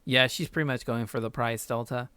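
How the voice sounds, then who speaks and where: A man's voice: mid-range voice, fairly even intonation, a little fast, sharp tone, smooth, no room sound. Male game streamer, raised mid-range voice, casual live commentary to viewers. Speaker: gaming streamer, host.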